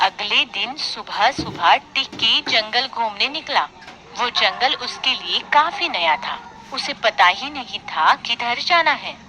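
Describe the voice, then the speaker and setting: A woman's voice: on the high side, expressive, a little slow, background noise. A woman narrating a scripted children's moral story, with music playing behind her.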